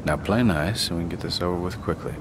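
An actor playing a cocky, greedy, hard-bitten bounty hunter in a video game, speaking in a curt, needling way. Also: male voice, sits low, quick pace, roomy sound, very noisy. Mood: smug bargaining. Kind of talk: cinematic video game acting.

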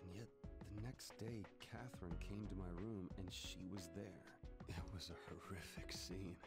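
Tired anxious young american dude in a game, lowish mumbly voice, loud music behind. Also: male voice, moderate pace, vocal fry. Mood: troubled. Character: weary, anxious young man in romantic turmoil. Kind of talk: animated video game cutscene acting.

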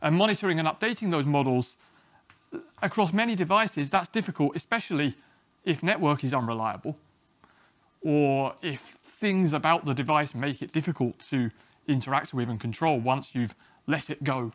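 A man, giving a relaxed, self-deprecating technical talk at a small meetup, often restarting his sentences. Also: fairly high pitch, normal speed, no room sound. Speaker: conference speaker. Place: small meeting room, indoors.

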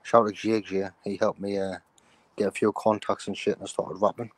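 A young rapper from the United Kingdom answering interview questions over a video call, mumbling with lots of "you know what I'm saying". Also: male voice, fairly low pitch, flat delivery, a little fast, dry recording. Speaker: rapper guest.

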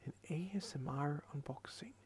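A tired young man hosting a late-night ASMR video, rambling in a soft half-whisper into a headset microphone at his computer desk. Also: on the high side, moderate pace, slight background noise. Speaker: channel host.

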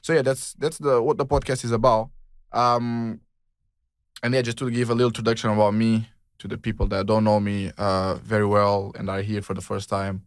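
A young man from Portugal hosts his podcast in a loose, rambling way, full of slang and fillers. His voice sits a little low. The sound is dry and close. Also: level tone, fairly quick, clean tone, dry recording. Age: 20s. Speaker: podcast host. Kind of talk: casual chat.

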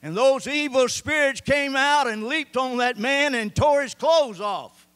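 A man's voice: very high-pitched, unhurried, fairly bright tone, smooth. An older man preaching a sermon with a handheld mic on a church stage, reading scripture and gesturing as he talks. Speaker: pastor. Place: church stage with band gear, indoors.

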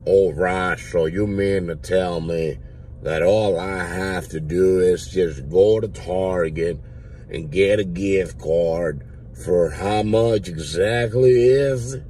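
A man's voice: low voice, slow, dark tone, far from the mic, slight background noise. A man in his thirties, seated in a car and talking into a phone, voicing a blustering redneck who claims to be a veteran, with a put-on Southern drawl and rambling, absurd talk. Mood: mocking. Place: inside a parked car. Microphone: phone held at the mouth.

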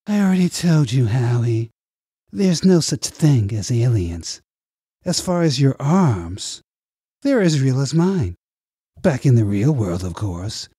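Man voicing a condescending villainous director, husky and higher-pitched. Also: big pitch swings, slow pace, dark tone, no room sound, studio quality, heavily acted. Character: smooth-talking, manipulative villainous male project director.

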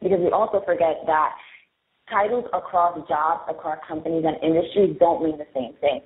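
A woman's voice: sits low, fairly quick, echoey room. A young woman with a Spanish and New York Bronx accent, candidly explaining branding tips step by step as an interview guest. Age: young adult. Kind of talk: interview answers. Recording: tinny phone-like audio.

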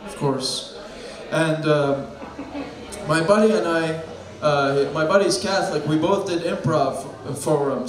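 A young man doing a deadpan stand-up set with dark jokes on a bar stage, talking into a handheld mic. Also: fairly high pitch. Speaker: stand-up comedian. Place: small bar stage with a live crowd. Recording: echoey room with loud crowd.

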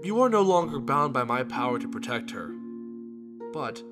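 A man's voice: medium pitch, sing-song, normal speed, music in the background, natural voice. A man voicing a suave, scheming immortal god in a cartoon, with a polite salesman's delivery. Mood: grave, weary. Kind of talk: animated web series dialogue.

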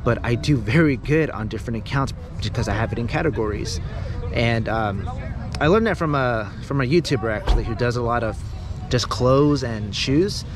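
A man's voice: medium pitch, normal speed, crowd noise. A man in his thirties selling at a flea market, talking casually to his viewers in a rambling way, often restarting his sentences. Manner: chatty, rambling, restarts often, laughs easily. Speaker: flea market reseller vlogger. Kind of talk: casual vlog talk to viewers. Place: outdoor flea market parking lot, in a parked truck.

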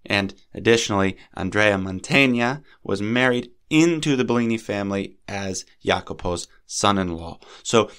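A man with a lowish voice delivers a scripted art history lecture as a podcast host. He speaks in a measured, lecturing way. His voice is a little scratchy and sniffly from a cold. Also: fairly bright tone, smooth.

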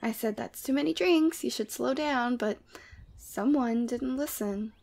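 Demon girlfriend played by a woman, ASMR audio drama: sweet but teasing, casual and playfully exasperated, very creaky delivery. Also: a little high, measured pace, a little mellow. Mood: teasing, caring.

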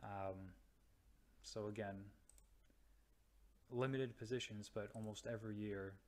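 Young man, slow hesitant explainer, low voice, flat tone. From United States or Canada. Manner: slow, hesitant, many ums and restarts. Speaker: radiology resident. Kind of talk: slide-based explainer. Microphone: voice-over to slides.

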